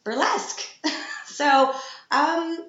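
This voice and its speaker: A woman's voice: very high voice, animated, drawn-out words, fairly bright tone. A woman hosting a podcast interview, friendly and giggly, encouraging her guests. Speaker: podcast host. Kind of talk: casual interview. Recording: echoey room, dull lo-fi.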